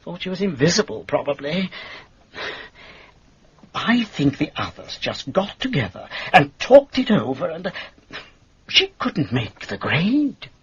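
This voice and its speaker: Husky, excitable English gossip. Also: male voice, a little high, unhurried, long pauses, full character voice. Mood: gossipy. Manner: dramatic, swooping, chummy. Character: excitable, gossipy, theatrical male lodger. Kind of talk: radio drama acting. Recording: dull lo-fi radio drama recording.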